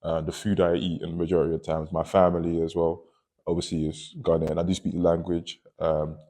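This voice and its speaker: A man talks in a very deep, mellow voice. He speaks quickly but hesitantly, with frequent ums and uhs. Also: little pitch movement. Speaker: panel guest. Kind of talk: casual discussion.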